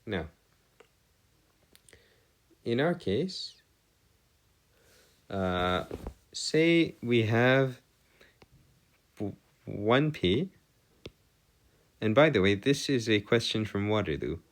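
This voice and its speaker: Slow, deep-voiced man tutoring. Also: expressive. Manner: halting, pauses to double-check himself. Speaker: math tutor. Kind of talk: lesson, working through equations step by step.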